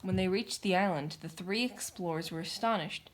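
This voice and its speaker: A woman's voice: lowish voice, moderate pace, slight background noise, rough recording. A woman reading a children's picture book aloud, narrating in a theatrical, emphatic way. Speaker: storybook reader. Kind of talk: dramatic children's story narration.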